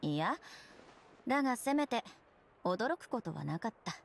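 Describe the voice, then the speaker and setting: A woman's voice: on the high side, sing-song, measured pace, vocal fry, a little hiss or hum, exaggerated voice. A woman playing a stern young police captain in an anime video game, speaking in a clipped, official, authoritative manner. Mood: grudging. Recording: game music behind the voice.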